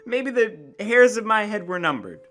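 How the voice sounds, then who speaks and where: A man's voice: high voice, big pitch swings, normal speed, a bit bright, soft background music, voice pushed up. A man voicing a tender, eager young wife in a dramatic story reading. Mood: pleading, earnest.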